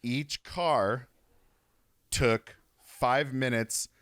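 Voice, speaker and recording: A man's voice: medium pitch, fairly even intonation, very slow, fairly bright tone, no echo, clean studio sound. A young man co-hosting a podcast, telling a rambling story in casual chat, laughing and swearing as he goes. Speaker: podcast co-host.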